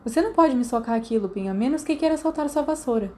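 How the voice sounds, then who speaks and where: A woman's voice: sits high, animated, quick pace, darkish tone. A woman voicing a cocky young schoolboy wizard in an audiobook, playful, teasing and nonchalant. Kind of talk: audiobook character voice.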